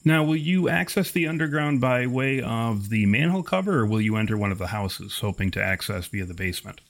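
A man narrating a tabletop horror game as game master, in a measured, wordy, descriptive way. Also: mid-range voice, animated.

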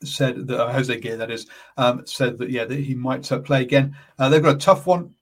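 A man with a New Zealand accent hosting a casual live rugby chat, calm and halting, filling pauses with ums and uhs. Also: medium pitch. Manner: calm, soft-spoken. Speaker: rugby podcast host. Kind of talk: casual live-stream commentary.

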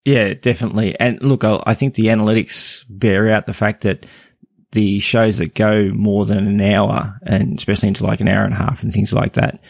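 A man's voice: fairly low pitch, level tone, normal speed, creaky, darkish tone, dry recording, studio quality. A man hosting a podcast interview, talking casually and rambling with many restarts. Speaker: podcast host.

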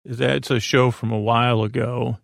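Male podcast host, creaky and lulling, wandering and self-interrupting delivery, very dry recording over faint music. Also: medium pitch, level tone, no echo. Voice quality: creaky, croaky. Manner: meandering, halting, stammering, self-interrupting; soothing, lulling. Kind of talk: rambling bedtime podcast monologue.

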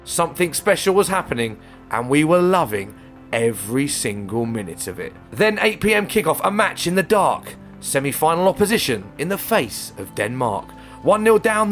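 An English man hosting a football podcast, his voice slightly croaky, with a dramatic, rhyming recap delivery. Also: high-pitched, fairly bright tone. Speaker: podcast host. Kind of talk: scripted podcast presenting.

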